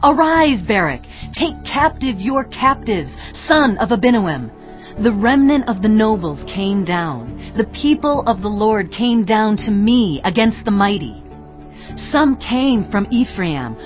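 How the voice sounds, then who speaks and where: A prophetess and leader, solemn and exhorting. She declaims scripture at a medium pitch with real authority, over music in a slightly dull recording. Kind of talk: dramatized audio Bible acting, declamatory.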